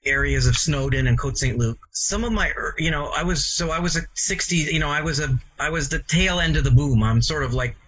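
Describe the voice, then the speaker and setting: A man in his sixties with a Canadian accent and a fairly high, bright, husky voice. He chats as an interview guest, wandering and correcting himself as he remembers. The audio is a little muffled. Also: brisk, few pauses. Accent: English-speaking Canadian. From Montreal, Canada. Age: 60s. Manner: rambling, self-correcting. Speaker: interview guest, comics author. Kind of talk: casual reminiscing interview.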